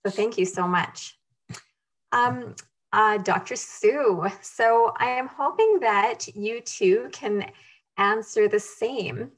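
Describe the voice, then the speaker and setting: A woman's voice: fairly high pitch, slow pace, rough recording. A woman moderating a webinar over a video call, thanking speakers and posing questions with some hesitant fillers.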